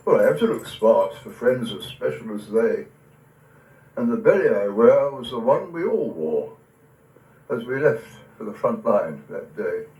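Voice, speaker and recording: A man as elderly war veteran grandad, reflective verse drama, emotional, expressive intonation, recorded at a distance. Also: medium pitch. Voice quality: quivering, emotional voice. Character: tender old grandfather, a wistful war veteran. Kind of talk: dramatic poetry recital. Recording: echoey room, distant from the mic.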